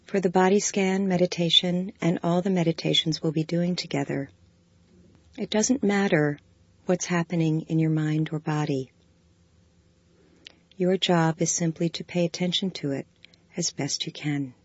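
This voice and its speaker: A woman guiding a body scan meditation, giving slow, soft, calm instructions. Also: on the low side, no echo, highs cut off. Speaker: meditation guide.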